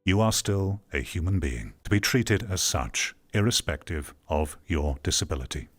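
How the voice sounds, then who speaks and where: A man with a fairly low voice delivers opinionated live commentary in the manner of a streamer on a rant. He is blunt and outspoken, and music plays behind his voice. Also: expressive, normal speed. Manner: blunt, ranting, swearing.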